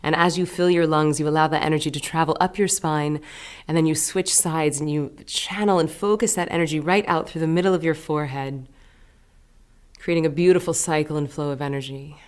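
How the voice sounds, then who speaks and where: A woman leading a guided meditation as a teacher, giving gentle, unhurried instructions with pauses between phrases. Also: deep voice, steady tone, clean tone.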